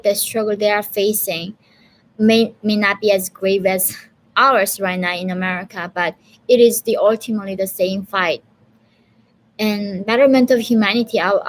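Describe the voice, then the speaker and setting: A woman's voice: mid-range voice, low-quality recording. A woman from North Korea with a Korean accent, answering viewer questions in an earnest, casual live stream with lots of fillers. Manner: earnest, talkative, many fillers and restarts.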